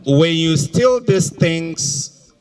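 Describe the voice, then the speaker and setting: A man's voice: a little high, lively intonation, drawn-out words, a little mellow, smooth, distant mic, low-quality recording. A middle-aged man with a Nigerian accent, a police officer questioning suspects with probing authority on a handheld microphone outdoors. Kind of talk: public interrogation at a press briefing.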